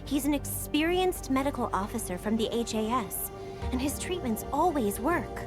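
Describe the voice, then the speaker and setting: Eager young female game helper: high pitch, earnest and helpful, music underneath. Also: lively intonation, normal speed. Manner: earnest, enthusiastic. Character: eager, helpful young woman at a camp clinic. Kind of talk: video game voice acting. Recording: music playing behind the voice.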